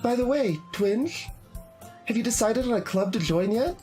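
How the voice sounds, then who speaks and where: A man's voice: very high voice, expressive, normal speed, dark tone, soft background music, voice pushed up. A man voicing a cheerful, clingy, caring teenage girl from an anime visual novel, bubbly and pleading. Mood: insistent.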